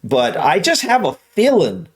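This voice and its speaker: A man's voice: fairly high pitch, huge pitch swings, unhurried. A man with an American accent hosting a reaction video, talking in a casual, rambling, opinionated way and often repeating himself. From United States. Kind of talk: casual conversational commentary.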